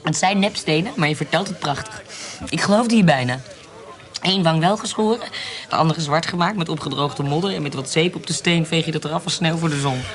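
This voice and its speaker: A woman's voice: deep voice, a little fast, natural voice. An actress playing a bold, cunning noblewoman and former soldier in a radio drama, speaking in a terse, insistent, commanding way. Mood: intense. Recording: heavy background noise.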